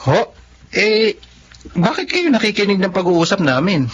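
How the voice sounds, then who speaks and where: A man's voice: sits high, very animated, unhurried, breathy edge, full character voice. A man acting an earnest young sweetheart in a radio drama. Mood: earnest, uneasy. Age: young adult. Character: earnest young working son and sweetheart, sometimes tipsy. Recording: dull, noisy lo-fi radio audio.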